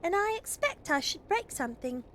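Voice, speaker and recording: A woman's voice: very high-pitched, sing-song, normal speed, a bit bright, a little hiss or hum, pitched above the performer's own voice, heavily acted. A woman voicing an eager, earnest young girl in a storybook reading. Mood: matter-of-fact.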